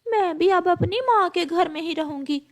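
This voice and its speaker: A woman's voice: very high-pitched, normal speed, pitched above the performer's own voice. A woman voicing a hurt, indignant female parrot wife in a children's cartoon, with a plaintive manner. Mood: tearful, resolute. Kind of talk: Hindi children's cartoon voice acting.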